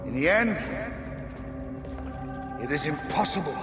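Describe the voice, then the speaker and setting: An actor playing a proud, defiant Roman tyrant in a video game, with pompous, declamatory delivery. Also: male voice, fairly high pitch, lively intonation, measured pace. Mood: grandiose anguish. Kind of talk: theatrical video game cutscene acting. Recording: echoey hall reverb, music behind.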